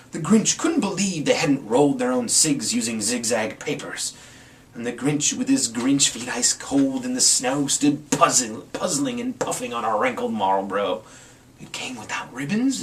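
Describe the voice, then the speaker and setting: A man reads a rhyming story aloud with theatrical, playful emphasis. His pitch is highly animated, rising and dropping for drama. The mic is some distance away and picks up faint background noise. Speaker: amateur storyteller. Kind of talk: dramatic storybook reading in rhyme. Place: indoors, in front of a green screen.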